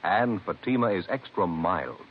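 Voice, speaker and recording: Animated low-voiced vintage radio pitchman. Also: a little slow. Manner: polished, emphatic salesmanship. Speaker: commercial announcer. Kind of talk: 1950s radio advertisement read. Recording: muffled vintage radio with faint noise.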